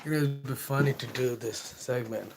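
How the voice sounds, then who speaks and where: A man's voice: mid-range voice, steady tone, normal speed. Rambling, laughing Bengali-accented man. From Bangladesh. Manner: rambling, irreverent, laughs between thoughts; reads passages aloud in a louder, declaiming voice. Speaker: host reading and reviewing a book. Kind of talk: casual livestream book talk.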